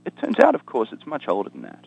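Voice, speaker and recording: A man's voice: mid-range voice, wildly swooping pitch, quick pace, vocal fry, a little mellow, slightly rough, rough recording. An Australian man, an economist guest, explaining ideas in conversation with dry humor and frequent ums. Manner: dry self-deprecating humor, many ums and restarts.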